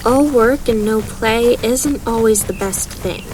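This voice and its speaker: An actress playing a young mage in a fantasy audio drama, earnest and questioning. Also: female voice, on the high side, takes it slowly, background noise. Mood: earnest, reasoning.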